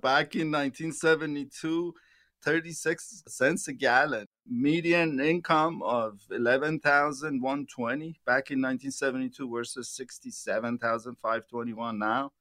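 Man in his sixties, radio host, halting with fillers, mid-pitched, dry close studio sound. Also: fairly even intonation, sharp tone, no echo. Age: 60s. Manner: halting, repeats words with fillers. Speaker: financial advisor hosting a retirement radio show. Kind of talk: casual radio chat.

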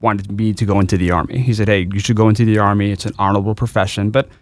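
A man, answering interview questions as a guest in a relaxed storytelling way. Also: fairly low pitch. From New York City. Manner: quick, relaxed storytelling with fillers. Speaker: guest, veteran and company co-founder.

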